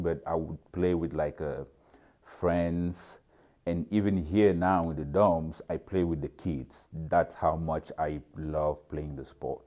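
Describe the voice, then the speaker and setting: A man in his thirties, originally from Cameroon, speaking in a relaxed, thoughtful way during a seated documentary interview in a large indoor lounge. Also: deep voice. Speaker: dorm parent.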